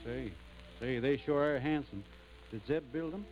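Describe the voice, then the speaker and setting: An actor in an old radio drama playing a humble young backwoods farmer turned soldier, with a halting, folksy rural Tennessee drawl. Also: male voice, medium pitch, lo-fi sound, over music, lightly acted. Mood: bashful, hesitant. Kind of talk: 1940s radio drama acting.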